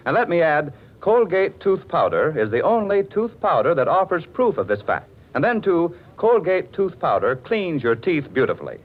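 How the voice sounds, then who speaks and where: A man's voice: a little high, sing-song, moderate pace, few pauses. A man announcing and narrating in scripted 1940s radio style, theatrical and polished. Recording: muffled, narrow-band 1940s radio recording.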